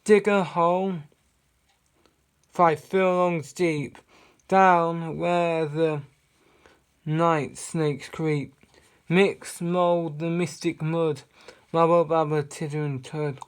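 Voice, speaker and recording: A man's voice: fairly high pitch, level tone, very slow, long pauses, clear, resonant tone. A man voicing a band of mysterious magicians, reciting a rhythmic sing-song spell. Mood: chanting.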